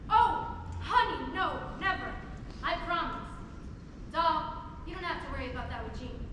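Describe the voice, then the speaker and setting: A high-voiced, brassy woman comforts a friend in a stage play, acted by a young woman. The sound is far away and noisy, washed in auditorium echo. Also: lively intonation, normal speed. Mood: knowing, reassuring. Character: Patsy, brassy, reassuring, much-married woman friend. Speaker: student stage actor. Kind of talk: live stage drama acting. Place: theater stage, indoors.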